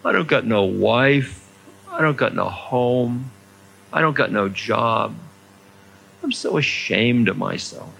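A man voicing a big, drunk male laborer who bellows in rough, broken grammar. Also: medium pitch, expressive, unhurried, sharp tone. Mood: despairing.

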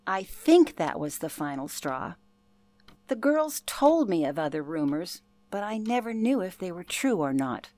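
A woman's voice: on the low side, very animated, unhurried, a little mellow, no room sound. An actress playing an older former telephone operator, telling her past in an earnest, genteel way for a radio play. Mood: matter-of-fact. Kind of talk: radio play, interview-style reminiscence.

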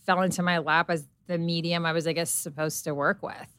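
A woman's voice: lowish voice, fairly even intonation, brisk, a bit bright, smooth, no echo. A middle-aged woman, a guest on an interview show, telling her story casually with lots of fillers and quoted inner thoughts. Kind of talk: casual chat.

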